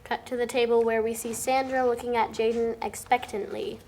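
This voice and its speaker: Female narrator, young, fairly high voice, reading screenplay action lines, faint background noise. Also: level tone, fairly quick, a little mellow. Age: young adult. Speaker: stage-direction narrator. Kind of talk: scripted narration, table read.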